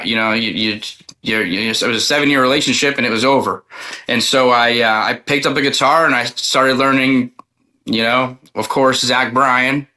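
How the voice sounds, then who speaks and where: Guy musician being interviewed, mid pitch bright voice, rambles casually, repeats himself, lots of likes. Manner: rambling, repeats words, peppered with "like" and "you know". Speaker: country singer-songwriter guest.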